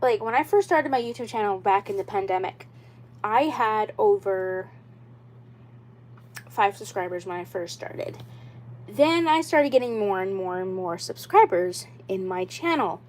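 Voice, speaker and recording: A woman's voice: medium pitch, expressive, measured pace. A young woman in her twenties hosting a casual livestream for her viewers. She talks in an earnest, insistent way, circles back to the same points, and fills her sentences with "like" and "you know.". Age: 20s. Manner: rambling and repetitive, with many "like" and "you know" fillers. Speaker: doll YouTuber.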